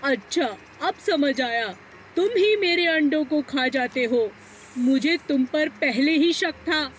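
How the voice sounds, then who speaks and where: Female narrator as storybook crow, suspicious wronged parent: very high, accusing tone, faint noise. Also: lively intonation, takes it slowly, recorded from a distance, rough recording. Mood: angry, accusing. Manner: plaintive, accusing.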